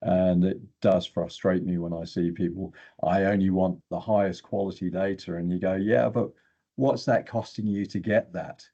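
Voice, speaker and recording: A man's voice: low-pitched, fairly even intonation, normal speed, dark tone. An older man giving opinionated, explanatory technical talk as an interview guest. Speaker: guest geochemist. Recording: dull, lo-fi sound.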